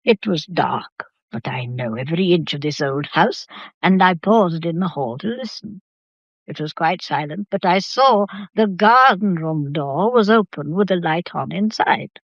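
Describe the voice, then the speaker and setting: An actress playing an imperious, composed old matriarch in a detective drama, speaking in a stately, commanding way. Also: female voice, fairly low pitch, lively intonation, measured pace, no room sound, lo-fi sound. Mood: composed, recounting. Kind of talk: detective radio drama acting.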